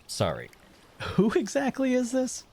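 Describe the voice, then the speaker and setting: A man's voice: very high-pitched, wildly swooping pitch, a little slow, creaky, darkish tone, exaggerated voice. A man playing a curious, casual merfolk monster in an audio drama, questioning and a little hesitant and skeptical. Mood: puzzled. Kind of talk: scripted audio drama acting.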